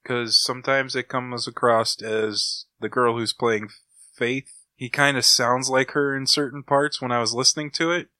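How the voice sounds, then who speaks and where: Casual podcast dude, sharp bright voice, very dry recording. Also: male voice, mid-range voice, flat delivery, moderate pace, dry recording. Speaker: podcast co-host. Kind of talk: casual chat.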